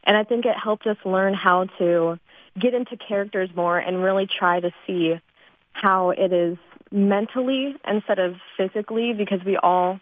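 A woman's voice: mid-range voice, steady tone, normal speed. Teenage girl on a phone-like line. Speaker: high school theater student, guest. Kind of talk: casual radio interview chat. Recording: dry, narrow phone-like line.

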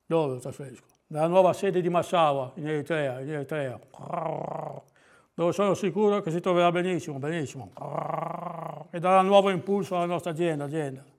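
A man's voice: on the high side, brisk, close to an everyday voice. A man voicing a smug, fussy male owl consultant in a storybook fable, muttering and repeating his own words. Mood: pondering, self-satisfied.

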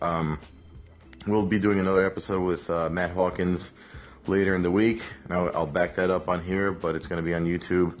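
A man, hungover, hosting a casual solo podcast recap in a relaxed, rambling way with many fillers. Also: low-pitched. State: hungover, rough voice. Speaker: podcast host, MMA analyst.

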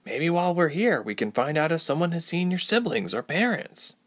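A man's voice: a little high, big pitch swings, fairly quick, no echo, pitched above the performer's own voice. A man voicing a guarded, earnest young woman traveller in a fantasy audiobook, reserved in manner.

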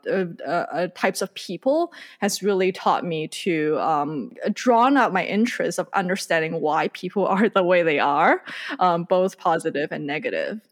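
A woman who grew up in Hong Kong, Indonesia and Malaysia, a native Cantonese speaker, telling her story as a podcast guest in an expressive, chatty way. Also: on the low side. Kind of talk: casual interview chat.

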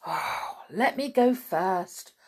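Woman voicing a nervous, husky little male mouse. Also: medium pitch, lively intonation, extremely slow, darkish tone, heavily acted. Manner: hesitant, stammering. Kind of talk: children's storybook read aloud.

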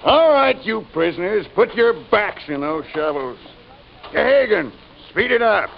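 Mean prison camp boss in an old radio western, high creaky voice, swings up and down, barks orders. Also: male voice, very animated, a little slow, full character voice. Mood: barking, harsh. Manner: barking orders, menacing taunts. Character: brutal prison work-gang boss, bullying and sneering. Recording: muffled, noisy old radio recording.

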